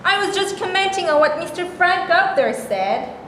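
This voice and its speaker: A woman's voice: very high voice, lively intonation, normal speed, a bit bright. A woman playing an agreeable, hopeful, conciliatory woman, speaking earnestly in a staged reading. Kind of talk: staged reading of comic dialogue. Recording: echoey hall, background noise.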